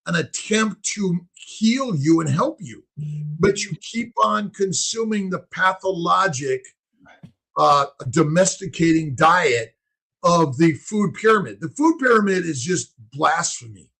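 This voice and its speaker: A man's voice: high voice, expressive, unhurried, gravelly, sharp tone. A man hosting a conversation, talking in an emphatic, preachy way. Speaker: host. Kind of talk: casual conversation.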